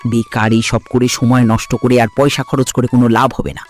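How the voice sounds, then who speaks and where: A woman's voice: rumbling low, little pitch movement, brisk, darkish tone, soft background music. A woman voicing a disapproving older mother-in-law in a village cartoon, scolding and dismissive. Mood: scornful. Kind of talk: cartoon voice acting.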